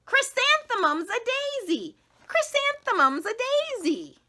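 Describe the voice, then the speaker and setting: Woman doing a high, snooty little schoolgirl. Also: very animated, measured pace, noisy background, exaggerated voice. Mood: mocking. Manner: exaggerated, sing-song. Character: snooty, teasing little schoolgirls, mocking and pointed.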